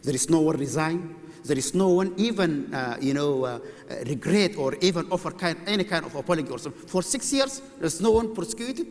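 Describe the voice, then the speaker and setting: Guy on a debate panel, halting and earnest, lots of uh's, big echoey hall. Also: fairly high pitch, expressive. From Sudan. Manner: earnest, halting, with frequent fillers. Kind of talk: formal public debate. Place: debate hall with live audience. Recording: long reverb of a large hall.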